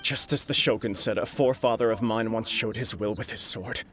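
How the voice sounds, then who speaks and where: A man's voice: lowish voice, brisk, background noise, natural voice. An actor playing an earnest young nobleman in a video game, solemn and formal, burdened with remorse. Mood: solemn, determined. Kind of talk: dramatic video game acting.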